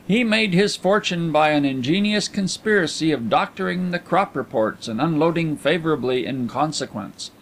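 A genial and shrewd gentleman from an audiobook, voiced by a male reader. He speaks in polished, formal sentences with easy self-assurance. His pitch sits fairly high for a man. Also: slight background noise. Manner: urbane, articulate, formal turns of phrase. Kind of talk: audiobook character dialogue.

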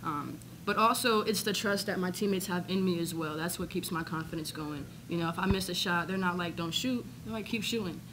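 A young woman athlete speaks upbeat and slightly low into a desk microphone at a postgame press conference, with plenty of filler ums. A clear layer of noise sits under the voice throughout. It is an indoor press room rather than a studio. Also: brisk, few pauses. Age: young adult. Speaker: college basketball player. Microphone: desk microphone on stand.

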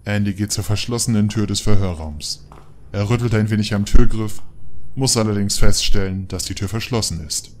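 A man's voice: fairly low pitch, normal speed, a little hiss or hum. A man narrating an audio drama from a script.

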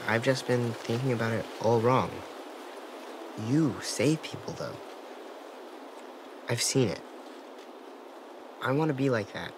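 Young boy artist, earnest, soft-spoken, game drama, clear ambience behind. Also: medium pitch, slow pace, pauses often, background noise. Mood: somber, earnest. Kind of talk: dramatic video game voice acting.

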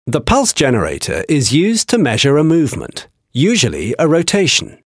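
A man giving scripted technical narration for a training video, with a measured, explanatory delivery. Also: on the high side, wildly swooping pitch, breathy edge, no echo, clean studio sound.

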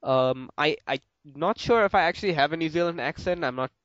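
A man's voice: on the high side, a little fast, a bit bright, no echo. A young man from India hosting a casual Q and A, rambling with many fillers and restarts. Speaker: host of a Q and A. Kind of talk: casual chat. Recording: dull lo-fi home audio.

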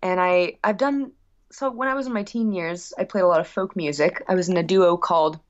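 A woman speaking as a musician guest in a casual interview, telling stories in an effusive, animated way. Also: on the low side, brisk, lo-fi sound.

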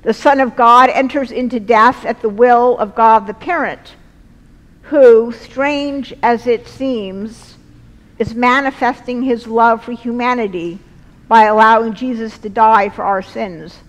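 A middle-aged woman preaching a sermon from a lectern in a large church, speaking earnestly in slow, deliberate phrases. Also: a little high. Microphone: lectern mic, far camera. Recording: long church reverb.

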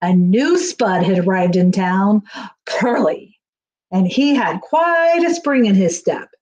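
A middle-aged woman reading a children's picture book aloud in an expressive storytelling manner. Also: mid-range voice, takes it slowly. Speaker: school staff reading aloud. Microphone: webcam on a video call, near.

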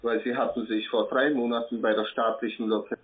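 A man's voice: mid-range voice, flat delivery, fast talker. Formal man on a tinny phone. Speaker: caller posing as an official. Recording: tinny narrowband phone line.

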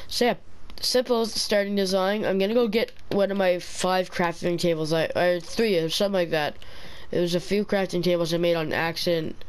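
A man's voice: high-pitched, moderate pace, hardly any pauses, clear, resonant tone. A young man giving casual, rambling commentary while playing, groggy from an early morning and often correcting himself. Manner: rambling, self-correcting, relaxed. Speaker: gaming channel host. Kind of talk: casual let's play commentary.

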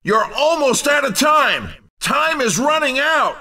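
Excitable video game race announcer, high bright voice, punchy theatrical calls, teasing streak. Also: male voice, takes it slowly, recorded from a distance, full character voice. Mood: excited. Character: excitable, teasing male racing game commentator.

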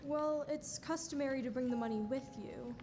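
A woman's voice: normal speed, dark tone, close to an everyday voice. A woman playing a polite, patient bank teller in a live radio play. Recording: echoey hall, faint rumbling noise.

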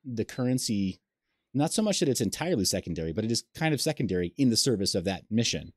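A man in his thirties hosts an interview over a webcam call. His voice sits low and sounds dry and close. He hedges and restarts his questions as he goes. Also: sing-song, fast talker, husky, no room sound. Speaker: host. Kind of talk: casual video call interview. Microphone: webcam on a video call.

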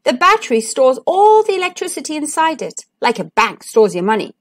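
Storybook sunbeam, woman performing: high, lively, friendly, eager explainer. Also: a little fast. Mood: cheerful, eager. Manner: bright, emphatic explaining to a child. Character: cheerful talking male sunbeam, keen friendly explainer. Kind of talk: children's storybook read-aloud.

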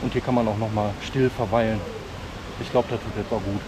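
A man's voice: fairly low pitch, fairly even intonation, brisk, rough recording. A man, chatting casually as he walks through a city on a hiking vlog, talking frankly with fillers and regional slang. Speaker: vlogger, urban hiking guide. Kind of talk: casual walking vlog commentary. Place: outdoors on city streets and parks. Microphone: chest-mounted action camera.

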